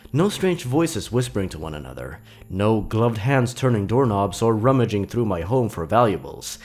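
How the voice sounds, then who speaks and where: Male horror narrator, low register, very lively intonation, suspenseful and theatrical scripted read.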